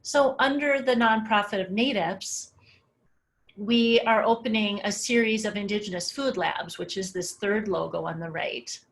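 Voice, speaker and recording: Casting note: middle-aged woman presenting over slides, relaxed and conversational, mid-range pitch. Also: normal speed, a little hiss or hum. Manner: casual, conversational, many "um" fillers. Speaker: webinar presenter.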